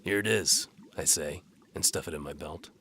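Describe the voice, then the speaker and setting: A man's voice: extremely low pitch, normal speed, bright, forceful tone, a little hiss or hum, heavily acted. A man playing a sardonic, world-weary bow for hire, narrating his own story in noir audio drama style. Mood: dry, wry.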